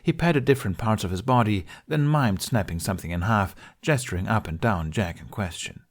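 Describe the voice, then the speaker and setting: A man reading a fantasy story aloud as an expressive amateur narrator. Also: fairly low pitch, a little fast. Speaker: amateur podfic reader.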